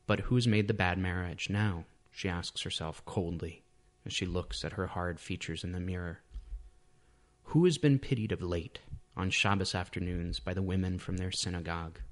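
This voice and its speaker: A man reading his own short story as scripted literary narration. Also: low-pitched.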